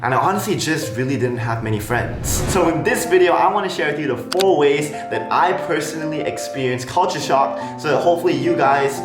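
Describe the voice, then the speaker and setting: A man's voice: fairly high pitch, lively intonation, a little fast, hardly any pauses. Young Malaysian man with a slight American accent. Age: young adult. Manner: chatty, frank, lots of "like" and "honestly". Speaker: vlogger, international student. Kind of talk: casual talk to camera. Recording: roomy echo with music behind.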